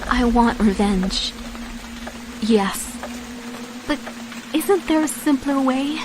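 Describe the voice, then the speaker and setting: An actress playing an earnest, determined young woman seafarer in a game cutscene, speaking deliberately. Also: high voice, animated, noisy background. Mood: troubled, hesitant. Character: young female foreign seafarer, earnest, determined, turning vengeful. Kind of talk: dramatic game cutscene narration and dialogue. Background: music.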